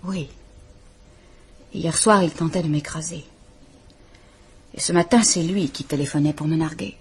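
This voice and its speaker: An actress playing a frightened but stubborn wife in a French radio drama. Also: female voice, low-pitched, measured pace, a little hiss or hum. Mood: defiant.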